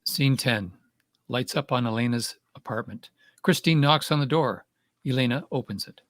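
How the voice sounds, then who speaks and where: A man's voice: mid-range voice, moderate pace, fairly bright tone, no room sound. A man reading stage directions as scripted narration for a play.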